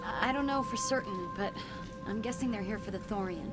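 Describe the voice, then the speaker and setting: A woman's voice: medium pitch, moderate pace, loud music behind the voice, close to an everyday voice. An actress playing a frightened young female research assistant in a video game, speaking nervously with stammers. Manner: nervous, hesitant.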